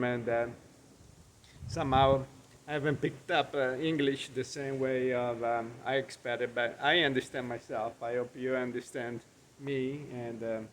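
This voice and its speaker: A man's voice: medium pitch, slight background noise. A middle-aged man from Italy with an Italian accent, a chef talking through his food in a rambling, hesitant way full of ums. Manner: rambling, frequent ums and uhs, hesitant English. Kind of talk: casual cooking vlog walk-through. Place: outdoors by the Gulf of Mexico in Florida.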